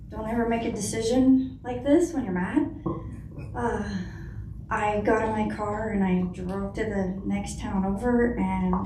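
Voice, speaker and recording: Soft-voiced, unhurried middle-aged woman. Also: medium pitch. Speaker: guest speaker, foundation founder. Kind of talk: personal testimony to an audience. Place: indoor conference room. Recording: roomy hall, distant mic, faint noise.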